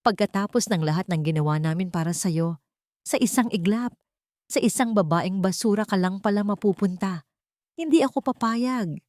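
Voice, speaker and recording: A woman's voice: medium pitch, expressive, moderate pace, darkish tone, no room sound, lightly acted. A haughty, scheming woman, as a female narrator reads her in a melodramatic story. She is bitter and defiant, in a dry recording.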